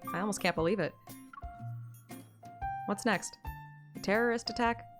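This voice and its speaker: A woman's voice: medium pitch, moderate pace. A woman streaming a story game, moving between playful, self-mocking chat and dramatic read-aloud narration. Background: game music.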